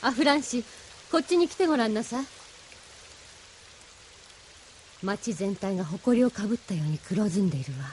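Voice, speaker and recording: An actress plays an idealistic woman mentor in a Japanese audio drama. She speaks in a solemn, persuasive, feminine manner at a mid-range pitch. Faint rain and hiss sit behind her. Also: expressive, fast, darkish tone, lightly acted. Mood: pensive. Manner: didactic, feminine speech, solemn.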